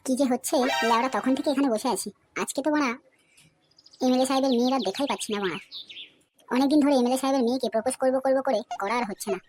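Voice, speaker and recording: Woman acting a fast, high-voiced, swaggering young cartoon lout. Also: background noise, close to an everyday voice. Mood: scheming. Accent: West Bengal. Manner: crude, swaggering, badgering. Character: brash, foul-mouthed young male suitor, pushy and menacing. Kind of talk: comedy cartoon dialogue. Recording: music behind the voice.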